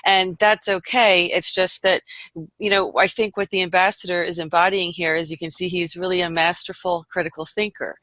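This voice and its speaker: A woman's voice: lowish voice, little pitch movement, normal speed, fairly bright tone, no echo. Woman hosting, close dry sound. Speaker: host of an online panel report. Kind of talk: unscripted panel hosting.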